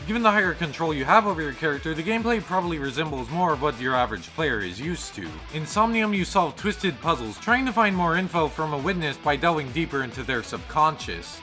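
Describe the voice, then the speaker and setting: A man's voice: a little high, sharp tone. A man reading a scripted video game review as narration. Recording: music behind the voice. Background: background music.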